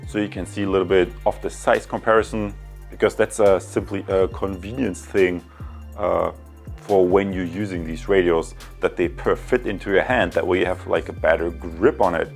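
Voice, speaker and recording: A man's voice: low-pitched, fairly even intonation, normal speed, faint music behind. A man from Germany with a German accent, presenting a casual product review in an informal, chatty way. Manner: informal, chatty, addresses viewers as guys. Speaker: product reviewer and channel host.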